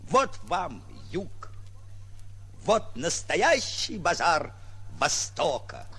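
An actor playing a suave, pompous self-styled prince in a 1940s radio play, speaking grandly with drawled hesitations. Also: male voice, fairly high pitch, sing-song, drawn-out words, bright, forceful tone, breathy edge, soft background music, full character voice. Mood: grand, assured. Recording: muffled vintage 1940s radio recording.

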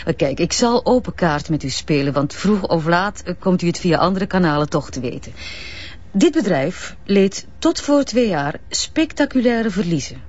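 An actress playing a brisk, self-important businesswoman with a Flemish accent, sprinkling in affected English jargon, in a naturalistic radio drama. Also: on the low side, animated, darkish tone, lo-fi sound. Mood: guarded, confiding. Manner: hesitant ehs, affected English business words.

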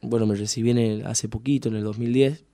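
A man's voice: mid-range voice, steady tone, fast talker, warm tone, no room sound. Rambling Argentine man from Tucumán. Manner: rambling, full of fillers and restarts. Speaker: musician guest. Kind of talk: casual radio interview.

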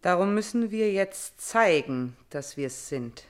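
Fierce vengeful princess, hugely dramatic swings. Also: female voice, fairly low pitch, lively intonation, takes it slowly, clear, resonant tone. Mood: grim resolve. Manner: declamatory, fierce, insistent. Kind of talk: intense classical stage-style radio tragedy acting.

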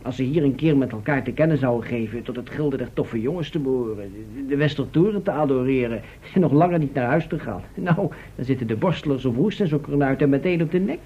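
A man's voice: mid-range voice, quick pace, hardly any pauses, breathy edge, lightly acted. A man playing a talkative, polite travel agent from the future in an old, muffled radio play. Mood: concerned, explaining. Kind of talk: vintage Dutch radio drama acting. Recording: muffled old radio recording, faint hiss.

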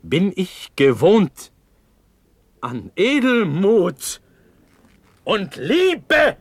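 Impassioned young prince, wildly varied pitch. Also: male voice, wildly swooping pitch, extremely slow, no room sound, full character voice. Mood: bitter defiance. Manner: theatrical, impassioned, declamatory. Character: young hot-headed, proud, dreamy Prussian prince. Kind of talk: classical German verse radio drama.

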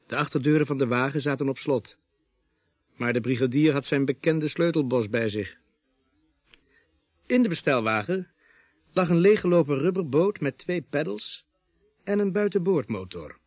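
An actor playing a policeman who narrates his investigation in a radio play, in measured storytelling with a husky voice. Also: on the high side, animated, no echo, clean studio sound. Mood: measured, descriptive. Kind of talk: scripted radio drama narration.

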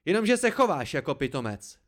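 A man's voice: mid-range voice, lightly acted. A man voicing a hot-tempered, hurt and sarcastic young man in an audiobook story, barking cutting retorts. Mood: furious.

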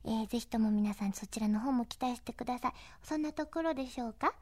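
Woman, radio-style interviewer, higher-pitched, polite, reactive, chatty, dry close sound. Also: fast, darkish tone, no echo. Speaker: radio show host interviewing a guest. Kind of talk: chatty interview for an anime fan CD.

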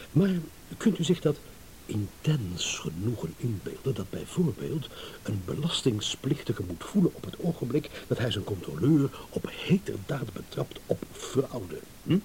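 An actor playing a smug, shrewd, eloquent gentleman in a radio drama, with mock courtesy. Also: medium pitch, poor audio. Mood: amused, triumphant. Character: smug, shrewd gentleman savouring a battle of wits. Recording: hissy old radio play recording.

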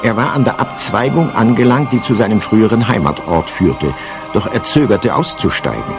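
A man playing the wry storytelling narrator of a science-fiction radio play, measured and storybook-like. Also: fairly low pitch, lively intonation. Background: music.

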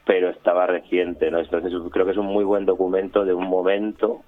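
Deep-voiced Spanish man on echoey line. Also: flat delivery, a little fast. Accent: Spain. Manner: hesitant, repeating words. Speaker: community radio member, interviewee. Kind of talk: casual interview talk. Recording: roomy, phone-like remote line.

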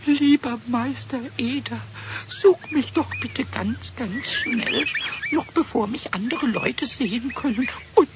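A man playing a small, childlike, cheeky kobold with a squeaky voice, stammering and pleading in a children's radio play. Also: takes it slowly, hardly any pauses. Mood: desperate, pleading. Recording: dull noisy 1970s radio play recording.

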